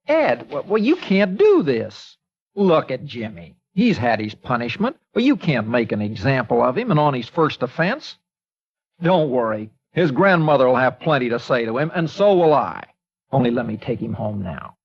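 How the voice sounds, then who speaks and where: Casting: earnest small-town newspaper editor, husky and lively, muffled old radio sound. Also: male voice, mid-range voice, moderate pace. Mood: pleading. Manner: earnest, pleading, indignant. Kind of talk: 1940s radio acting.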